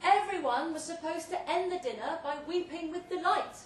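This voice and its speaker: A woman's voice: very high-pitched, normal speed, voice pushed up. A woman voicing a drugged, pompous male celebrity chef declaiming a speech in a live story reading. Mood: grandiose. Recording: roomy, distant live recording, faint noise.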